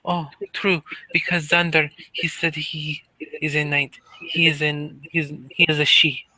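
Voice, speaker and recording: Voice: mid-range voice, flat delivery, measured pace, sharp tone, dry recording, low-quality recording. A player voicing a stiffly formal tailed creature girl. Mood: curious. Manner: stiff formal speech without contractions. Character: young female creature with a tail, newcomer.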